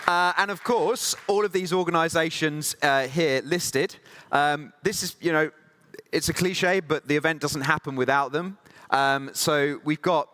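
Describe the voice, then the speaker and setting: A man's voice: a little high, fairly even intonation, measured pace, sharp tone, a faint crowd. A man emceeing a conference, thanking sponsors and introducing the next speaker in a friendly, filler-heavy way. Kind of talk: thank-you speech at a conference. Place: conference hall stage.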